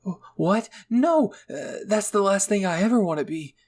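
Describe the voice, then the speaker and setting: Man voicing a shy, husky young runaway. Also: sits high, expressive, normal speed, a little mellow, pitched above the performer's own voice. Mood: nervous. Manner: hesitant, stammering. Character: shy, nervous young runaway with water powers. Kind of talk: anime fan roleplay acting.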